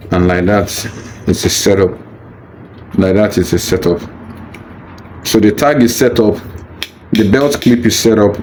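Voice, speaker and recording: A man's voice: deep voice, warm tone, rough recording. A man patiently walking through a hands-on unboxing tutorial, casual and reassuring, repeating his steps as he goes. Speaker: product demonstrator. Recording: faint background noise.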